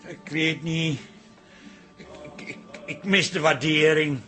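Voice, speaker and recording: A man's voice: on the high side, fairly even intonation, slow pace, bright, forceful tone, faint music behind. A man playing a henpecked barkeeper husband in a radio play, hesitant, defensive and pleading. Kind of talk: Dutch radio play acting.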